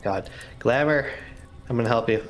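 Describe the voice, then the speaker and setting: A man chatting casually as a player in a tabletop game, informal and a little halting. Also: medium pitch.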